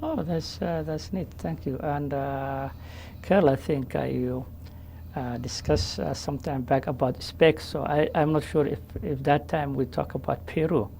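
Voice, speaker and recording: Lady host w/ super deep gravelly voice, dark tone, lots of uhs, faint room hum. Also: steady tone. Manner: halting, many fillers and restarts. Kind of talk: casual interview.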